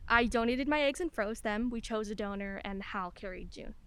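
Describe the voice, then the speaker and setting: A woman's voice: fairly high pitch, normal speed, fairly bright tone, smooth, close to an everyday voice. An actress playing a wry, candid single mother in her forties in a radio play, a lawyer who laughs easily while talking. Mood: conversational, wry.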